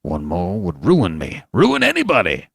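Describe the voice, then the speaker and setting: A curt, indignant old doctor, voiced by a man, bites off his words with clear irritation. His sharp, scolding delivery swings widely in pitch, and the recording is dry and close. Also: wildly swooping pitch, moderate pace, no room sound, full character voice. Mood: irritated.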